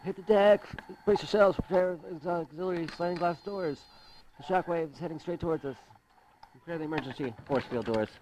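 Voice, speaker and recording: A man's voice: a little high, brisk, noisy background, close to an everyday voice. A man voicing a stern, barking commander of a space prison outpost in an amateur cartoon. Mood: urgent. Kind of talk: amateur animated sci-fi voice acting.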